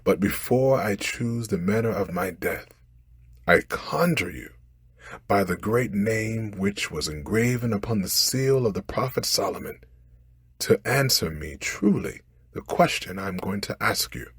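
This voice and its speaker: Man voicing a husky, higher-pitched pleading fisherman. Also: lively intonation, unhurried, dry recording, clean studio sound, exaggerated voice. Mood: resigned, wary. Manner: imploring, formal old-fashioned phrasing. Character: humble, pleading male fisherman, clever under threat. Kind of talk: storybook character voice.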